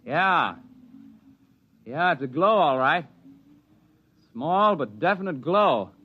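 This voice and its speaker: An American actor playing a level-headed outdoorsman in an old radio drama, telling his story in a measured, plainspoken way. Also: on the high side, expressive, slightly rough, narrow, tinny audio, full character voice. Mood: intent, conversational. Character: level-headed male outdoorsman narrating his mountain adventure. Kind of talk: old-time radio drama acting, first-person narration and dialogue. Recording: noisy vintage radio drama recording.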